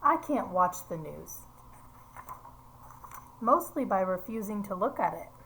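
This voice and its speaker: A woman's voice: medium pitch, big pitch swings, slow, a bit bright, slight background noise, poor audio. A woman reading a picture book aloud to young children, explaining as she goes and putting simple questions to her listeners.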